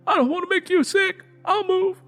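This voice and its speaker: A woman's voice: extremely high pitch, fairly even intonation, moderate pace, fairly bright tone, soft background music, pitched above the performer's own voice. A man voicing a sick young woman who speaks meekly and apologetically. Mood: apologetic. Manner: apologetic, meek.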